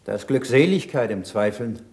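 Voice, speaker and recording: An actor playing a mild, thoughtful, questioning male scholar in a German radio play, measured and bookish. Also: mid-range voice, animated, a little mellow, natural voice. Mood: doubting.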